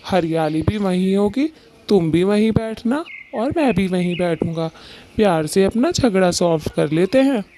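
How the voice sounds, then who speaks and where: A woman's voice: medium pitch, animated, moderate pace, mellow, close to an everyday voice. A woman voicing a patient, mild male bird husband in a cartoon, reasoning and placating as he talks. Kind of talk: Hindi cartoon story dialogue.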